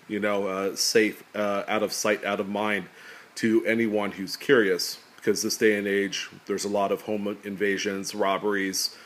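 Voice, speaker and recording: A man with a deep, slightly nasal voice chats easily to his viewers. He rambles along in an unhurried way, filling the pauses with ums and uhs. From California. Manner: relaxed, rambling, many fillers. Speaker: coin collecting YouTuber. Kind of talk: casual talk to camera. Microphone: camera pointed at table, speaker off screen.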